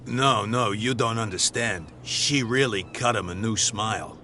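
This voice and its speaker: A man's voice: lowish voice, a little slow, pushed and bright, slight background noise. An actor voicing a nervous but rude male bootlegger in a video game, blunt and jumpy. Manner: blunt and jittery.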